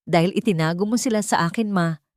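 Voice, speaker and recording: A woman's voice: lowish voice, quick pace, a little mellow, no room sound, lightly acted. A woman storyteller plays a wealthy man, a grown son who is earnest and defiant. The lines are firm and insistent in a radio-drama manner, recorded dry and close. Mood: angry, defiant. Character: earnest, frustrated rich adult son, defiant.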